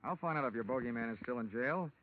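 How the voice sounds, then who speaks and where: An actor playing a wry, cool-headed insurance investigator in a hard-boiled, wisecracking 1940s radio detective style. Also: male voice, mid-range voice, animated, fast, slight background noise, close to an everyday voice. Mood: cool, businesslike. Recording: tinny, band-limited 1940s radio recording.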